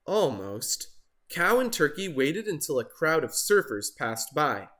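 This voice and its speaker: Male children's storybook narrator: expressive, unhurried, with slightly high, swooping pitch. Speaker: storybook reader.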